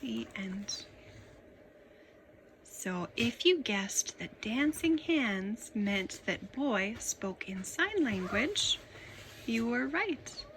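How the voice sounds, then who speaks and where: A woman narrates a children's story at a very unhurried pace. Her reading is expressive and dramatic, with a medium-pitched voice. There is a little noise in the background.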